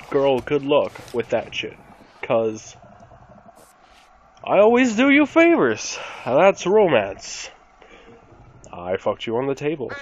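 A man giving a mock-dramatic spoken reading of rap lyrics, theatrical, with deliberate pauses between short phrases. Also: mid-range voice. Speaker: performer of dramatic readings in an online game. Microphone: computer mic over game voice chat. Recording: muffled, noisy game voice chat.

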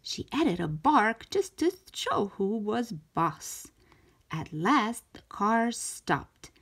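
A woman reading a storybook aloud for young children in an expressive, deliberate way, stretching out key words. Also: medium pitch, vocal fry, breathy edge. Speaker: children's storybook reader, show host.

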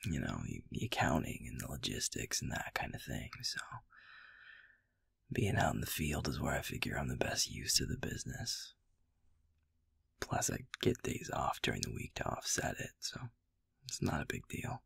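Sweet young boyfriend, played by a man, intimate audio roleplay: very low, heavy fry, soft and halting. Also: no echo, clean studio sound, full character voice. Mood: lazy, mildly weary. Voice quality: gravelly, breathy low voice. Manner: soft, breathy, halting and affectionate.

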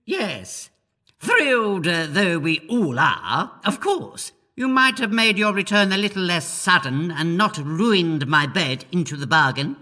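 An actor playing a sour, snobbish lord with a disdainful drawl in a comic audio drama. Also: male voice, high-pitched, big pitch swings, measured pace, pushed and bright, full character voice.

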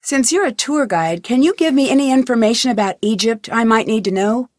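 A woman's voice: a little high, animated, fairly quick, no echo, lightly acted. A woman voicing a curious, probing young woman detective in a video game, asking question after question with a dry wit. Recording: dry studio recording.

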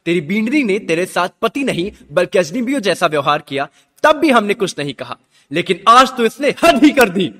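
A man's voice: high voice, big pitch swings, moderate pace, heavily acted. A man playing a stern, domineering royal father-in-law, accusing and angry, in a radio drama. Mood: furious. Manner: forceful, accusatory. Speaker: actor in a student radio drama. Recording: long hall-like reverb.

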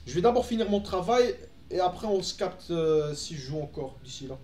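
A man's voice: high-pitched, lively intonation, a little fast, darkish tone, roomy sound, a little hiss or hum. A young Belgian man, a native Dutch speaker, chatting casually with his live-stream audience while playing, with lots of fillers and restarts. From Belgium, Antwerp. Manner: chatty, answers chat, frequent fillers and restarts. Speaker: gaming streamer. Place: room at home, indoors.

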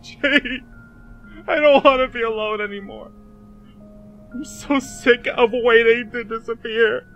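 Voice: mid-range voice, steady tone, a little slow, pauses often, fairly bright tone, faint music behind. A performer voicing a despairing, lonely boy who speaks through tears in an emotional fan dub. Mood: sobbing despair. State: crying. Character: sobbing, despairing boy John, desperate for company.

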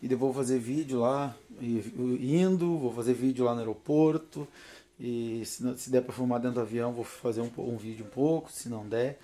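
A man's voice: mid-range voice, a little fast, warm tone. A man in his thirties with a southern Brazilian accent, vlogging casually into a phone at arm's length. He is tired and nervous, chatting loosely and laughing often. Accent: southern Brazilian Portuguese. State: tired from no sleep. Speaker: paranormal vlogger. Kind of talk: casual selfie vlog. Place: home porch, then inside a car. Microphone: phone held at arm's length.

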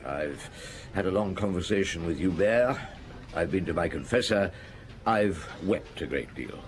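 Ruminative detective chief, widely varying intonation. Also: male voice, deep voice, animated, unhurried. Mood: musing. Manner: ruminative, hesitant, dryly wry. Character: shrewd, unhurried male police detective chief, gruffly pondering. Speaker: actor in a radio detective drama. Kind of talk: radio drama acting. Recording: noisy old radio drama broadcast.

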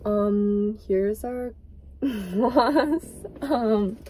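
Slow, mellow-voiced young woman vlogging. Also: mid-range voice, slight background noise. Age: young adult. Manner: relaxed, filler-heavy, trailing off. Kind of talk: casual vlog chat. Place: inside a parked car.

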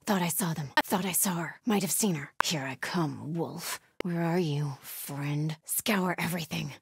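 Casting: grim female cult hunter for a video game, husky voice, dry close sound, clipped menacing orders. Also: low-pitched, measured pace, no echo, heavily acted. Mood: hushed menace. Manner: terse, commanding, menacing. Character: hostile female cult warrior hunting intruders, grim and zealous. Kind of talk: video game combat barks.

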